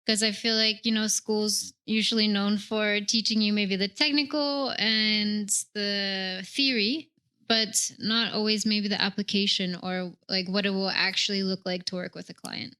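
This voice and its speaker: Bright, clear-voiced young woman host. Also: medium pitch, steady tone, unhurried. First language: English. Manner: chatty and reflective, with many "like" and "you know" asides. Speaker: interviewer host. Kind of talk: casual interview chat.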